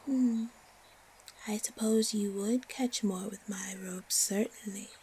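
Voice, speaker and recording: A woman playing a haughty, suspicious, disdainful spider monster in a fantasy audio roleplay, with a breathy, often whispery voice. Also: medium pitch, fairly even intonation, warm tone, slight background noise, natural voice. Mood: grudging, disdainful. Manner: slow, disdainful, haggling.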